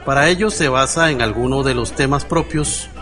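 Un hombre que lee una narración documental preparada para un programa de radio, con tono formal y pausado. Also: male voice, medium pitch. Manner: formal, measured reading. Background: music.

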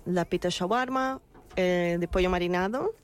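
A woman's voice: lowish voice, normal speed. Cheerful brazilian-born woman guest on a radio food show, easy laugh. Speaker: restaurant representative guest. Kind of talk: casual chat.

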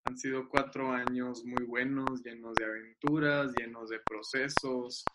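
A man, chatting as a guest on a video call, enthusiastic and quick to laugh, often repeating his words. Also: medium pitch, level tone. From Mexico. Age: 20s. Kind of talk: casual video-call chat. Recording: loud background hiss on a video call.

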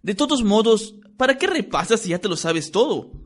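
A man's voice: high-pitched, very animated, moderate pace, voice pushed up. A man reading a story, voicing an emphatic, boastful teenage schoolboy. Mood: grumbling.

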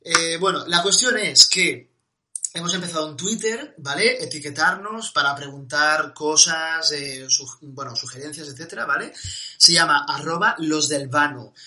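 A man's voice: fairly high pitch, measured pace, pushed and bright. A young man co-hosting a podcast, chatty and casual, often correcting himself mid-sentence. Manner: chatty, self-correcting, fond of "¿vale?". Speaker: podcast co-host.

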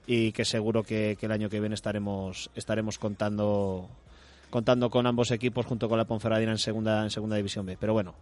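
Halting man, deepish voice. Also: level tone, darkish tone. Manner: halting, repeats words as he goes. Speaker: guest from a women's football club. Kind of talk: radio interview.

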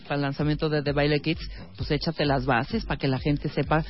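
A man's voice: a little high, flat delivery, brisk, dull audio, soft background music. A man co-hosting the radio show, speaking casually.

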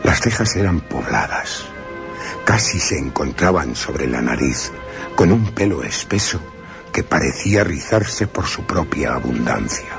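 Young male solicitor, fearful, very deep gravelly voice, slow, music beneath. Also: sing-song, exaggerated voice. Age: young adult. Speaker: narrator as character. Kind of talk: radio drama narration.

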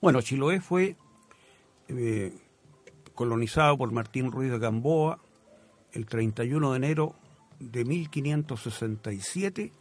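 A man's voice: medium pitch, animated, measured pace, pauses often. A man with a Chilean accent talking as a guest writer in a live radio interview, reflective and often searching for words in literary phrasing.

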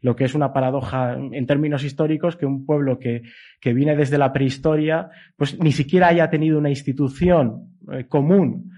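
A man's voice: mid-range voice, steady tone, moderate pace, warm tone. A middle-aged man from Spain with a Castilian Spanish accent, giving a semi-improvised academic talk in a discursive, hesitant way, often adding checking tags. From Spain (Basque Country and Galicia). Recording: dull lo-fi sound.

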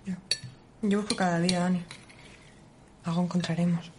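A woman's voice: lowish voice, flat delivery, normal speed, close to an everyday voice. An actress playing a subdued, determined young working-class woman in naturalistic film dialogue. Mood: subdued, matter-of-fact. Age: young adult. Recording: film clip with clattering dish noise.

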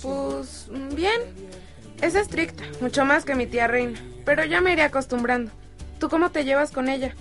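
A woman's voice: sits high, moderate pace, a bit bright, faint music behind. An actress playing a curious, easygoing teenage girl with a Mexican accent in a radio soap opera.

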